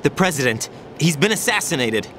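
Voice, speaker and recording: Earnest young special agent. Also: male voice, on the high side, sing-song, brisk, bright, forceful tone, slight background noise. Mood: troubled, probing. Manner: earnest, questioning, insistent. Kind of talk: video game cutscene acting.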